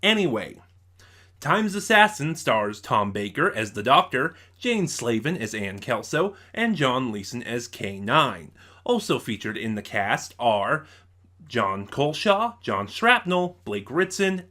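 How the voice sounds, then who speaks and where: A man's voice: mid-range voice, sharp tone. A man giving a casual spoken review as an online host, thinking aloud and often correcting himself. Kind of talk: casual spoiler review of an audio drama.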